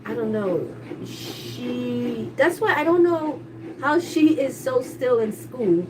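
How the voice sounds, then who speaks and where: A woman's voice: on the high side, lively intonation, slow, far from the mic, noisy background. Congested woman chatting on a home livestream. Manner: chatty, candid, talks to viewers. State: congested, stuffy nose. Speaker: livestreaming YouTuber. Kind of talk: casual livestream chat. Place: kitchen at home, household noise.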